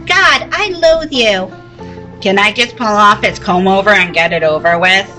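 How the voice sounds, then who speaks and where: A woman's voice: medium pitch, normal speed, bright, forceful tone, soft background music, lightly acted. A woman playing a fed-up, scornful young cartoon sleuth in a comic table read. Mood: disgusted.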